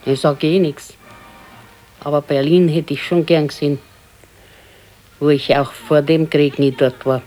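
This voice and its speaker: An actress playing a resigned, wistful elderly wife with a Bavarian accent in a naturalistic radio play, speaking plainly and haltingly. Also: female voice, low-pitched, fairly even intonation, warm tone, faint music behind. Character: wistful, resigned old pensioner's wife, thrifty.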